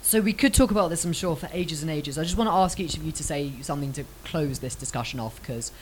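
A woman's voice: low voice, quick pace, a little hiss or hum, low-quality recording. A British woman hosting a casual show with young people. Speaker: host of a youth show.

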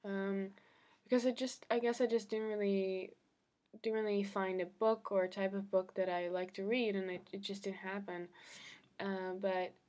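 A woman's voice: medium pitch, flat delivery, normal speed, darkish tone. A young woman talking casually on her own podcast, rambling with fillers and restarts, slightly congested from a cold. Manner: rambling, chatty, laughs often, many fillers and restarts. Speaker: podcast host. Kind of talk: casual solo podcast chat. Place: her room at home.

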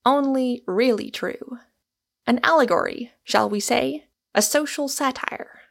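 A woman voicing a sardonic, bitter Irishman in audiobook dialogue, with calm, deliberate irony. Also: a little high, creaky, pitched above the performer's own voice. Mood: ironical. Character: gloomy, sardonic Irishman, quiet, bitter and ironical.

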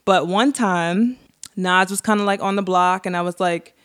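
A woman from Queens, co-hosting a podcast and telling stories in a relaxed, playful way. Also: lowish voice, smooth. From Queens, New York. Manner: relaxed, playful, drawn-out storytelling. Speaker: podcast co-host. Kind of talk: casual storytelling chat.

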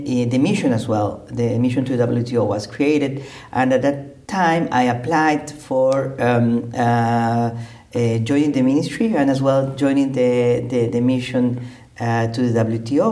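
A man's voice: medium pitch, dark tone. A middle-aged man from Costa Rica, a trade diplomat answering interview questions with many fillers and restarts. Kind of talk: conversational interview.

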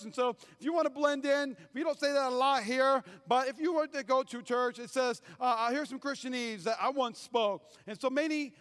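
A man's voice: very high-pitched, a bit bright. A pastor from North Dakota preaching a humorous sermon, playfully quizzing his congregation with call-and-response. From North Dakota, USA.

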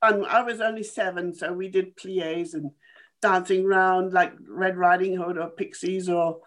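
A woman in her eighties from Kenya, a former dancer telling her life story in a halting, thoughtful way with small laughs. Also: fairly low pitch, steady tone. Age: 80s. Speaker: interview guest, former dancer. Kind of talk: casual remote interview.

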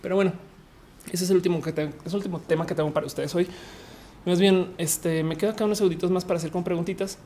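A woman from Colombia hosts a livestream in a low, mellow voice. She talks casually and rambles, with many fillers and restarts. A faint hum of room noise sits behind her. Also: steady tone, fast talker. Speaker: live show host. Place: lives in Mexico.

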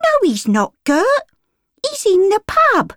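A woman's voice: very high voice, huge pitch swings, slow, no echo, studio quality, pitched above the performer's own voice, full character voice. A woman doing a ragged little street girl. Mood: defiant.

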